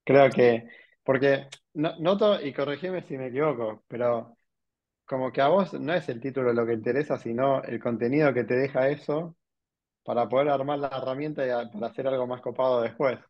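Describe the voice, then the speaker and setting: A man's voice: mid-range voice, brisk. Argentine man hosting, dull lo-fi audio. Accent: Rioplatense Spanish. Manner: musing, rambling questions with many "¿no?". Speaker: podcast host. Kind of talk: casual interview. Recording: dull lo-fi sound.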